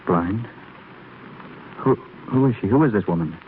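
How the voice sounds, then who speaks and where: An actor playing an earnest, anguished doctor who speaks haltingly in a 1930s radio drama. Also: male voice, on the low side, animated. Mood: urgent. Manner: halting, stammering when troubled. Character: earnest, anguished male doctor longing for lost love. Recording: scratchy, muffled 1930s radio broadcast.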